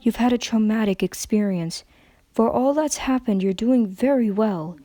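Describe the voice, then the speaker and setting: A patient pony doctor, voiced by a woman in a story reading. The delivery is measured, soothing and careful, with a dry, mellow sound. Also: on the high side, dry recording, natural voice. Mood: reassuring.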